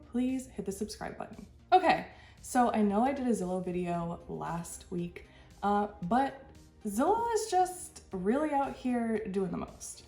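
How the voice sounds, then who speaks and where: A woman's voice: mid-range voice, darkish tone. A woman hosting a reaction commentary, sounding stuffy from a cold, talking frankly and with exasperation, with short laughs between her points. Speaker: channel host. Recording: echoey room with faint music.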